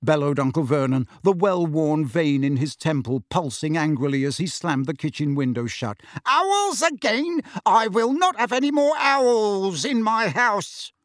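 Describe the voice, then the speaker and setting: A man voicing a blustering, angry middle-aged father in a storybook reading, loud and raised high. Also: normal speed, hardly any pauses, dry recording, studio quality, lightly acted. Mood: bellowing.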